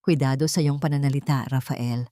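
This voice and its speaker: A woman's voice: extremely low pitch, fairly even intonation, fairly quick, warm tone, no echo, clean studio sound, voice pushed down. A woman voicing a cold, protective male tycoon with a stern, threatening manner. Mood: cold menace.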